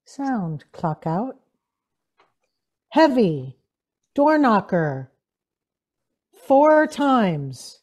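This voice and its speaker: A woman's voice: on the high side, big pitch swings, extremely slow, long pauses, a little mellow. A woman reading out sound-effect cues in short clipped phrases, as in a script reading.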